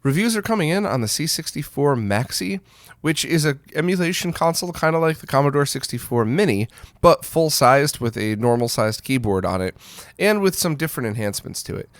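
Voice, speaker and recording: A man's voice: fairly high pitch, expressive, a little fast, dry recording. Casual man hosting gaming news, dry close sound. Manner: informal, conversational, opinionated. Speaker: host of a retro gaming news roundup. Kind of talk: casual news roundup commentary.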